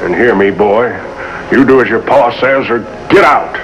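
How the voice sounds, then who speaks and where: A man's voice: mid-range voice, normal speed, highs cut off, close to an everyday voice. An actor playing a stern, domineering middle-aged backwoods father, commanding and scornful, in a 1950s TV melodrama. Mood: angry, scornful. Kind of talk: 1950s American television melodrama acting. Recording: old TV soundtrack under loud music.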